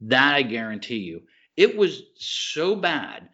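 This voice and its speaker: A man's voice: medium pitch, big pitch swings, slow pace, sharp tone, breathy edge. Emphatic American man, dull lo-fi sound. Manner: emphatic, opinionated, blunt slangy talk. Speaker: podcast co-host. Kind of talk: casual chat about movies and TV.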